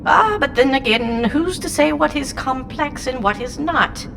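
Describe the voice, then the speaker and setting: A woman's voice: sits high, expressive, a little slow, exaggerated voice. A woman voicing an ancient, whimsical and haughty alien entity inside a small robot in an audio drama, speaking in theatrical, rambling, pompous riddles. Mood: whimsical, musing. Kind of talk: full-cast audio drama acting. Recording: clear noise bed behind the voice.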